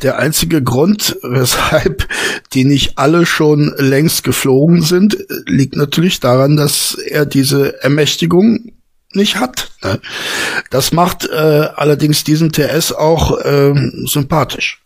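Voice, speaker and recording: A man giving a slow, dry, sarcastic commentary over a voice-chat recording, with many fillers. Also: a little high, breathy edge, dry recording.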